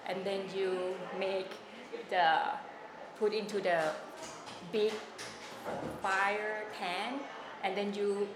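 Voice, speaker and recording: A woman from Thailand, a Thai speaker talking in English, being interviewed. She speaks haltingly and fills her pauses a lot while she hunts for words. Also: mid-range voice, level tone. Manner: halting, many fillers, searching for words. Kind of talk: casual oral history interview. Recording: echoey room with noise.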